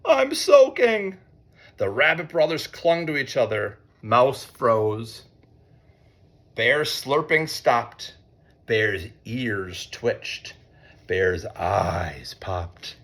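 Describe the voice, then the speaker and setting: Expressive, slow-paced male storybook reader. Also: fairly low pitch, creaky. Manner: expressive, playful, pausing to ask listeners. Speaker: read-aloud storyteller, teacher. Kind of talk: interactive storybook narration for children.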